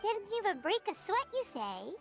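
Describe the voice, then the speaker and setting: A woman's voice: extremely high pitch, big pitch swings, normal speed, gravelly, a bit bright, full character voice. A woman voicing a tiny, cheerful, childlike fairy companion in an anime video game, chirpy and talking about herself in the third person. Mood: amazed. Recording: game music behind.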